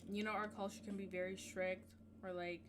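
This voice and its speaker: A woman's voice: mid-range voice, level tone, brisk. A young woman from Jamaica hosting a podcast in casual chat, talking openly and reflectively and leaning on a lot of likes and you knows. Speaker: podcast host.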